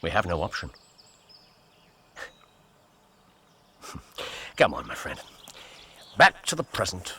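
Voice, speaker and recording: An actor playing a shrewd, unsentimental gentleman detective in a radio drama, British, brisk and wry. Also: deep voice, huge pitch swings, creaky, slightly rough, heavily acted. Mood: gently firm. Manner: brisk, deductive, wry, muttering to himself.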